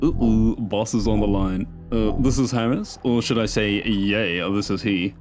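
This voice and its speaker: A man's voice: lowish voice, unhurried, over music, natural voice. A man doing a geeky, jaunty tech wizard. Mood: playful. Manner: playful, mock-grand phrasing, odd put-on accent. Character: geeky, jaunty male tech expert, theatrical.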